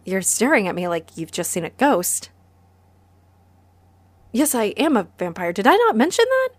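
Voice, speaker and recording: A woman's voice: medium pitch, wildly swooping pitch, moderate pace, no echo. A woman playing a friendly, easily flustered vampire who runs a cozy cafe, chatty and apologetic, in a roleplay audio drama spoken to the listener.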